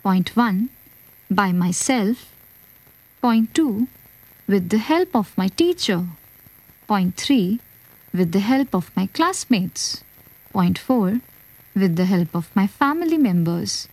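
A woman reading a textbook aloud, describing pictures and listing items one by one in a measured way. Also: medium pitch, expressive, darkish tone, no room sound, poor audio. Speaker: textbook reader. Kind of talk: slow read-aloud describing textbook pages.

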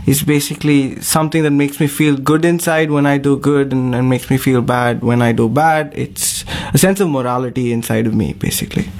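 Young man with a medium-pitched voice. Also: fairly even intonation, fairly quick, few pauses, darkish tone. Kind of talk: conversational group discussion.

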